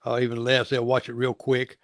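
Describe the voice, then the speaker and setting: A man's voice: medium pitch, monotone, brisk, a bit bright, no room sound. A male talk show host chats casually with a guest in a folksy, meandering style. He circles back and repeats phrases as he goes, in a dry, close-sounding recording. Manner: rambling, folksy, repeats himself. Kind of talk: casual interview chat.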